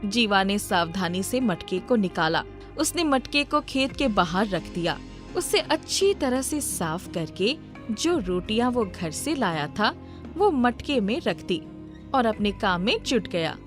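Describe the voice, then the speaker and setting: A woman's voice: medium pitch, very animated, measured pace, soft background music. A woman narrating a scripted storybook tale.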